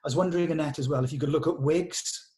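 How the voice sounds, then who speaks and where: A man's voice: fairly high pitch, quick pace, darkish tone. Enthusiastic man chatting. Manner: enthusiastic, encouraging. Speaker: director leading a script read-through. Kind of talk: casual production meeting chat.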